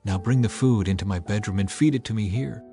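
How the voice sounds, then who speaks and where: A man's voice: fairly low pitch, fast, mellow, faint music behind, lightly acted. A man voicing a cruel, domineering young prince with a demanding manner in a dramatized scripture reading. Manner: demanding, imperious.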